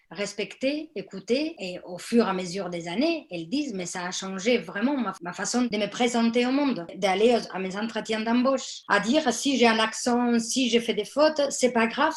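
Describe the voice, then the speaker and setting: A woman who leads theatre workshops, speaking on a discussion panel and telling her stories in an animated way. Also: fairly high pitch. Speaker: theatre workshop leader for women.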